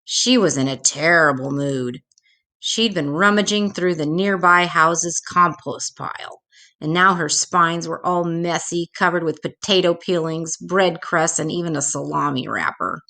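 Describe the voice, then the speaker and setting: A woman reading a children's storybook aloud expressively, with dramatic pauses. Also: on the low side, bright, forceful tone.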